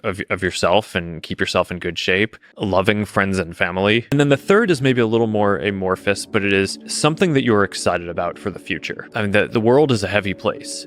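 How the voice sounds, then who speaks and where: A man with a very low voice talks in a reflective, conversational way. He is thoughtful, sometimes repeating a word as he searches for the next. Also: fairly bright tone.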